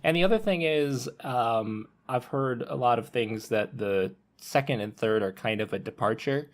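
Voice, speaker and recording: A man's voice: mid-range voice, moderate pace. A man speaking as a panelist on a casual podcast, talking things through conversationally.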